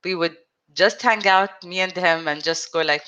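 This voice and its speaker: A woman in her thirties, an interview guest telling her own story in an earnest, reflective way, speaking with a stutter, frequent restarts and pauses. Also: on the low side, bright, forceful tone, clean tone, dull audio. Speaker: interview guest, support group founder. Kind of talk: personal storytelling in conversation.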